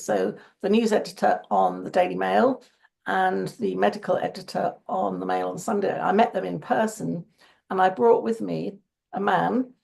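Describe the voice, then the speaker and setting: A woman talks through her experiences in a relaxed interview. Her voice is croaky and heavily gravelly, with plenty of fry. She speaks at a middling pitch, earnest, and often stops to restart her phrases. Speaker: interview guest. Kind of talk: conversational interview.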